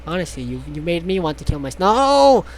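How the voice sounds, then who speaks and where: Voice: medium pitch, very animated, fairly quick. A streamer reading a visual novel aloud in a casual way, stumbling over the text and dropping sarcastic, sweary asides.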